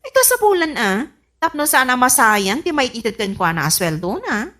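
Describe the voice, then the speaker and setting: A woman's voice: high-pitched, very animated, moderate pace, sharp tone, full character voice. A woman acting a strict, irritable wife and mistress of the house in an Ilocano radio comedy-drama, with an Ilocano Filipino accent and a scolding, imperious manner. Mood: complaining. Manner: imperious, scolding, easily exasperated. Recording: music under the voice.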